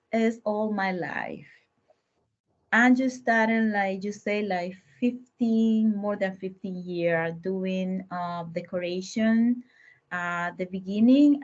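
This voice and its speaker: A woman with a Dominican Spanish accent, a native Spanish speaker and small business owner, speaking halting, simple English at a roundtable. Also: on the low side. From Dominican Republic. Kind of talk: roundtable remarks. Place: home, on a video call. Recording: muffled video call audio.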